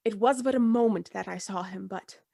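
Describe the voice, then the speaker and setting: A woman's voice: mid-range voice, brisk, creaky, mellow, dry recording, studio quality. A woman playing a frightened, loyal and deferential old household servant in a Gothic radio play. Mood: frightened, insistent. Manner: deferential, agitated, emphatic.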